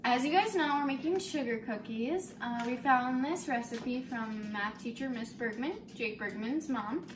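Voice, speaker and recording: A woman's voice: a little high, measured pace, hardly any pauses. A teenage girl presenting casually on location as a student reporter. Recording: echoey, distant mic, music over it.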